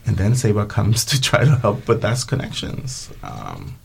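A man's voice: lowish voice, wildly swooping pitch, moderate pace, gravelly, slight background noise. A man from Guatemala speaking emphatically and supportively in a conversational discussion.

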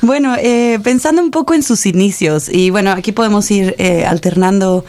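A woman hosting a radio interview, speaking in an enthusiastic, clear announcer manner. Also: mid-range voice, normal speed, a little mellow. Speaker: radio host.

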